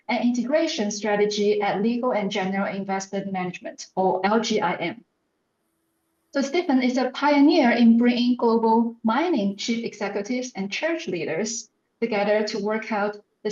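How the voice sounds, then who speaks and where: A woman's voice: a little high, a little mellow. A woman moderating an event reads a formal introduction, dropping in a few fillers. Her voice sits in a roomy echo, and the audio is dull and lo-fi. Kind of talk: introducing a speaker.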